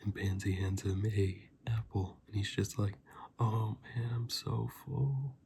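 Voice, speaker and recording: A man speaks in a soft, breathy ASMR style, often slipping into a whisper. His delivery is even and very unhurried, with a dark, relaxed tone. He rambles casually like a reviewer chatting to viewers. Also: lowish voice, a little hiss or hum. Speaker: host of an ASMR review channel.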